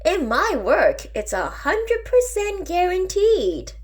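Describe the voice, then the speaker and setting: A woman reading a storybook, voicing a slick, upbeat male travelling salesman with a persuasive showman's pitch. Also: wildly swooping pitch, takes it slowly, heavily acted. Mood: confident, pitching.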